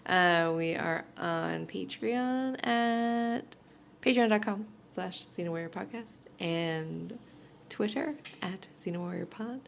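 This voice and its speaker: A woman's voice: lowish voice, takes it slowly, creaky, slight background noise. A woman co-hosting a podcast, talking casually in an informal, easygoing manner. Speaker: podcast co-host. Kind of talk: casual TV recap chat.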